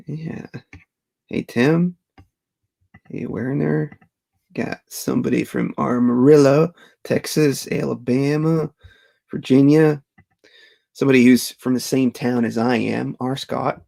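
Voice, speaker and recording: Gravelly man chatting on a livestream. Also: medium pitch, darkish tone. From Belgium. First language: Dutch. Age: 30s. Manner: chatty, chuckles often, restarts words. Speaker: host of a live Q&A stream. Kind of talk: casual livestream chat reading viewer comments.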